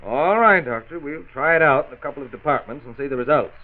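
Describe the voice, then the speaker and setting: An actor playing a blustering, skeptical American factory boss in a 1940s radio drama, with an emphatic, grumbling, sarcastic delivery. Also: male voice, fairly high pitch, lively intonation, fast talker, lightly acted. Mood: skeptical, grudging. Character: blustering, skeptical male factory boss under deadline pressure. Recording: tinny, narrow-band 1940s radio recording.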